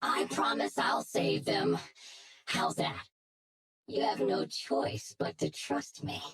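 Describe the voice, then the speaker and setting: Low, gravelly menacing villain hacker. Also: female voice, huge pitch swings, slow, a bit bright, full character voice. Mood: smug, menacing. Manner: taunting, commanding, threatening. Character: menacing mystery female hacker, smug, demanding blackmailer. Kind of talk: English video game voice acting.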